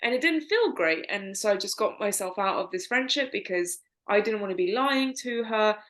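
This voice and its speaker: A woman's voice: mid-range voice, animated, moderate pace, fairly bright tone. A woman co-hosting a podcast, giving earnest, chatty dating advice. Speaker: podcast co-host. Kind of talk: casual chat.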